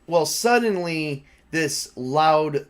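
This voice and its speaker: A man running a tabletop role-playing game as game master, narrating casually and haltingly as he improvises. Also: a little high, animated.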